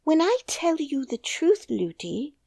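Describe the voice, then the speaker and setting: A woman voicing a calm, dignified little princess who speaks quietly and firmly in an audiobook. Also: high-pitched, measured pace, vocal fry, no room sound, clean studio sound, voice pushed up, heavily acted. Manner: quiet, composed, firm. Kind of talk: audiobook character voice.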